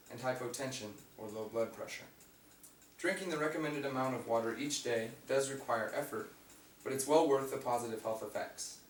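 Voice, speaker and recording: Raspy young man, student speech, picked up from far off in an echoey room with faint hum. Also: medium pitch. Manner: reads from prepared notes with fillers and restarts. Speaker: student giving a class speech. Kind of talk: informative class speech. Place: classroom.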